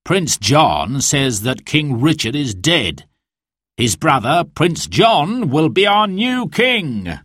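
A man voicing a proud, scornful sheriff who speaks slowly and dismissively. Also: on the high side, big pitch swings, fairly bright tone, dry recording, studio quality, voice pushed up, exaggerated voice.

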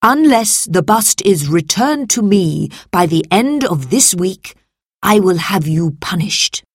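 A powerful, pompous Roman senator from a children's storybook, acted out by a woman. He is furious and imperious, barking threats in slow, weighty words. The recording is dry and close. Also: medium pitch, sing-song, husky, no echo, full character voice. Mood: threatening.

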